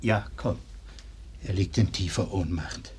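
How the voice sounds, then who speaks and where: A man's voice: deep voice, unhurried, darkish tone, slight background noise. A man in a radio play acting a grave, concerned married man.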